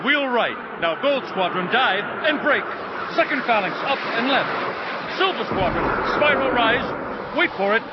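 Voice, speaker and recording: An actor playing an angel squadron commander in an audio drama, barking clipped drill orders. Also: male voice, extremely high pitch, very animated, normal speed. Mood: commanding, urgent. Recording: dull sound in loud outdoor-like noise.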